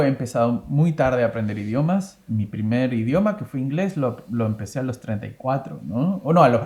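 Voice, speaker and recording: A man in his thirties hosts a relaxed interview, speaking with a mellow, warm tone. He asks questions in a chatty way, often circling back to rephrase them. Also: medium pitch. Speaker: host, interviewer. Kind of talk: casual interview, plus read sponsor ad.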